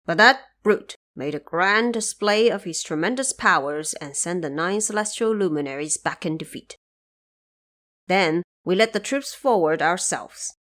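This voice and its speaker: A woman's voice: lowish voice, a bit bright. Older celestial king female performer, stately commander and father, formal, authoritative, for fantasy audio drama.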